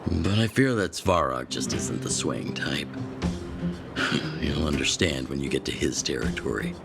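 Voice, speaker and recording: A man playing a gruff older resistance chief in a video game, speaking deliberately and with authority. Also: a bass voice, lively intonation, loud music behind the voice, heavily acted. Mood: measured, grave. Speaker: leader of an underground resistance.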